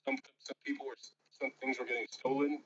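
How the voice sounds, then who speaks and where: A man's voice: medium pitch, flat delivery, brisk, fairly bright tone, muffled recording. A man playing a tabletop game in casual chat, asking questions, teasing and laughing often. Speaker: player.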